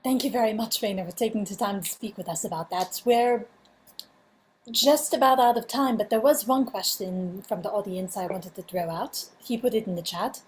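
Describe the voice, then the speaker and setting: A woman's voice: medium pitch, normal speed, low-quality recording. A woman moderates an online panel over a video call. She thanks the guest politely and reads out questions from the audience.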